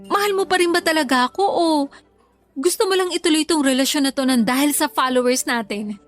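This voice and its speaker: A woman's voice: high-pitched, fairly quick, natural voice. An actress with a Filipino accent playing an earnest, disillusioned young girlfriend in a radio drama. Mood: hurt, pleading. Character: hurt, disillusioned young girlfriend, a content creator. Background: music.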